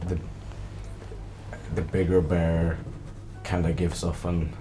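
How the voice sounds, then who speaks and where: A man playing a tabletop game, narrating his moves casually in a halting, improvised way. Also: sits low, level tone, noisy background.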